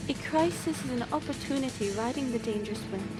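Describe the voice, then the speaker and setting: A high, youthful female voice, acted by a woman as a plucky military analyst in an audio drama. She sounds earnest and expressive. Music runs behind her. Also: fast, lightly acted. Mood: alert, earnest.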